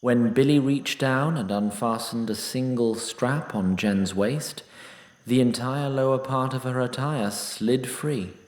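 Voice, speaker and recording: A man's voice: medium pitch, unhurried, darkish tone. A man narrating a scripted fantasy story in a formal, wry manner. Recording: roomy echo.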